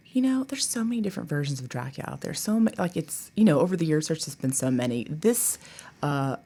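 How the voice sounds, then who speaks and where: A woman's voice: low voice, sing-song, fast, dark tone. A woman talking casually and enthusiastically as an interview guest, in a chatty way. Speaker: guest, college theatre director.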